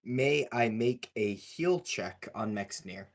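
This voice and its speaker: A man playing a tabletop roleplaying game, casually and haltingly describing what his character does. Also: fairly low pitch, expressive.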